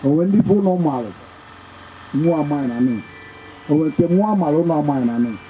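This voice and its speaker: Nigerian guy mc at a community event, pretty high voice but really dark tone, rambles with lots of uhs. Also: expressive, dull audio, slight background noise. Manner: loose, halting announcing with fillers. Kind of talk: public address mixing Pidgin and Igbo. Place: community summit venue.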